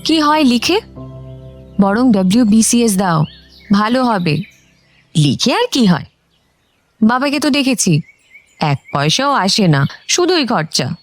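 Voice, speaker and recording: চড়া গলার চপল, প্রেমিকা তরুণী. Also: female voice, fairly high pitch, sing-song, takes it slowly, pauses often, faint music behind, full character voice. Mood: dismissive, practical. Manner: coquettish, teasing. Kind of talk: radio drama acting.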